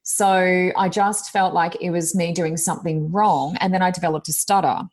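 A woman, co-hosting a casual talk podcast, speaking loudly and expressively as she reflects on her own experience. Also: lowish voice, moderate pace. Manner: loud, expressive, self-reflective. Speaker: podcast co-host, coach. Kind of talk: casual chat.

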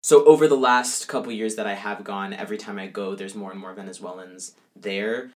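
A man's voice: fairly low pitch, fairly even intonation, brisk, a little mellow. A young male college student answering interview questions casually, with frequent fillers and restarts. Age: young adult. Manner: conversational, many fillers like "like" and "um".

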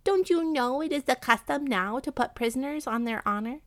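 Woman voicing a high-pitched, pleading, courteous storybook rat. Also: expressive, moderate pace. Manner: pleading, wordy, formally courteous. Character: polite, honourable, anxious male rat, a captured talking animal. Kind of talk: children's animal storybook character.

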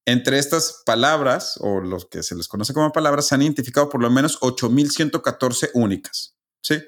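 Man, casual podcast host, methodical step-by-step explaining, middle pitch. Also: brisk. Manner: methodical, explains facts step by step, restarts phrases.

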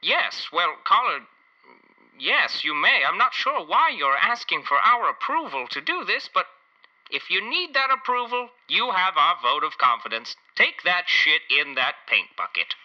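A man's voice: sits high, big pitch swings, measured pace. A man playing a chipper old-time radio advice host, peppy, folksy and showy, in a 1940s broadcast parody. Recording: dull lo-fi radio sound.

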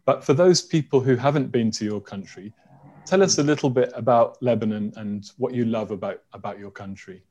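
A man's voice: mid-range voice, a little fast, darkish tone, lo-fi sound. A man hosting an interview over a video call from home, friendly and a little hesitant, with frequent fillers. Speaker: host.

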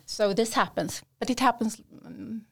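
Gravelly-voiced woman tutor, hesitant. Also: fairly high pitch, moderate pace. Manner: hesitant, many fillers and restarts. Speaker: academic English support tutor. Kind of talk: conversational interview answers.

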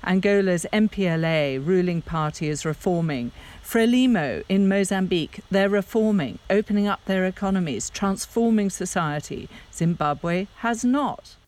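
A woman's voice: lowish voice, lively intonation, moderate pace, hardly any pauses, a little mellow. A middle-aged woman from Zambia co-hosting a podcast, giving emphatic, argumentative political commentary. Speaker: podcast co-host, Africa risk analyst.

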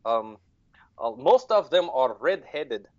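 Man putting on droll ancient ghoul, lively pitch. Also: slow pace, dry recording, muffled recording. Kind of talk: comic podcast banter in character.

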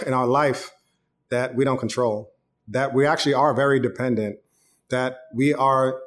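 A man in his thirties preaches an online sermon like he is chatting with friends, moving easily into personal stories. His voice sits at a middle pitch, neither deep nor high. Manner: casual, conversational preaching with storytelling. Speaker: pastor.

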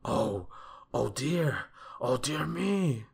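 A man voicing a rude, miserly storybook character who is blunt, exclamatory and quick to panic or plead. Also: low-pitched, creaky, sharp tone, exaggerated voice. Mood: wailing, pleading.

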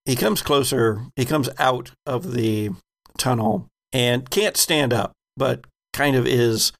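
Slow, very creaky male game master. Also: mid-range voice, fairly bright tone. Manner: descriptive, deliberate. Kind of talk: narrating a tabletop game.

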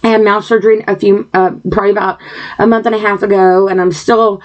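A woman's voice: medium pitch, level tone, quick pace, highs cut off. Earnest woman rambling into her computer mic. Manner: earnest, sidetracked, frequent fillers and throat clearing. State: dry mouth. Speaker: podcast host. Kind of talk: rambling personal monologue. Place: home. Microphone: computer microphone.

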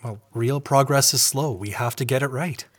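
A man acting a well-meaning but self-satisfied husband in an audio drama, earnest and condescending, halting when challenged. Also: medium pitch, fairly bright tone, close to an everyday voice. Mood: defensive.

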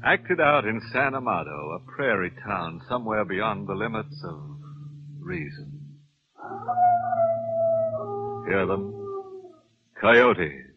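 An actor playing a ghoulish, mock-cordial horror host with macabre relish on an old radio show. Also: male voice, fairly low pitch, big pitch swings, vocal fry, heavily acted. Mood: ominous relish. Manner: slow, drawn-out, chuckling, swooping. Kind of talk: 1940s radio horror hosting. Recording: tinny, noisy old radio recording.

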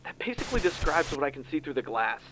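A man's voice: fairly high pitch, big pitch swings, quick pace. A man playing a prickly, sarcastic tactical officer who argues with a rival in a science fiction audio drama. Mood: wary. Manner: sarcastic, argumentative. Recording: clear vehicle-like background noise.

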